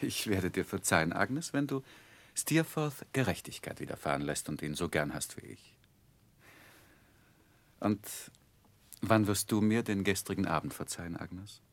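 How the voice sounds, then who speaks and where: An actor playing an earnest man looking back on his youth in a measured, reflective 1960s radio drama manner. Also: deep voice, expressive, creaky, no room sound, exaggerated voice. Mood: earnest, defensive. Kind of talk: 1960s German radio drama narration and acting.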